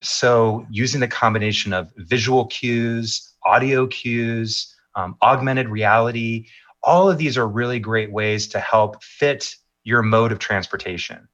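A middle-aged man answering interview questions as a maps executive, in measured, polished talking points with occasional fillers. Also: medium pitch, fairly even intonation, a bit bright, lo-fi sound.

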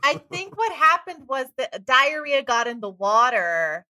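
Bright-voiced woman telling news deadpan. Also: high voice, unhurried, no echo. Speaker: comedy podcast co-host. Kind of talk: improvised comedy banter.

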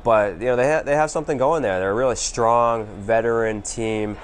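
A man giving conversational sports analysis as a co-host, in a measured way with asides. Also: mid-range voice, low-quality recording. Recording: background noise behind voice.

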